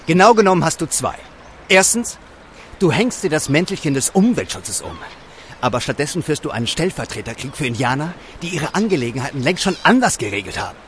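A man's voice: a little high, big pitch swings, fairly quick, slight background noise. A man playing a guarded, proud and irritated character in an audio drama, curt and dryly cutting. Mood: angry. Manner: curt, sardonic.

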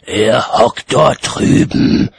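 Man playing a gruff, very husky weary watchman. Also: low-pitched, animated, a little slow, a bit bright, no echo, heavily acted. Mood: irritated. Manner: gruff, dry, sarcastic. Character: grumpy, sardonic, weary male city watchman.

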